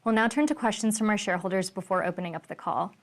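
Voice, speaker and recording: A woman's voice: mid-range voice, rapid-fire. A woman moderating an earnings call, reading scripted transitions and questions.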